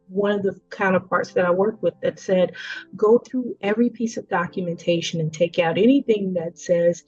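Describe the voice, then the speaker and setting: Earnest 40s woman telling her story, low-ish warm voice, kinda dull lo-fi audio. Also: dry recording. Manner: earnest, reflective, slows down to stress points. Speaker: education leader. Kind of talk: personal testimony talk. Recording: dull lo-fi audio with faint music.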